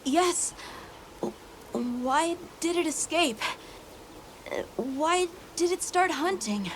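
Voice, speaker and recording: A woman voicing an earnest, determined young boy in a game cutscene, pleading and halting as he speaks. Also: high-pitched, animated, slight background noise, heavily acted. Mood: pleading, troubled. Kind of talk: dramatic video game cutscene acting. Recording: music behind the voice.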